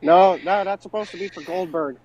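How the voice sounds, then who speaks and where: A man's voice: sits high, brisk, lo-fi sound, crowd noise. A man chiming in as a panelist in a casual podcast debate.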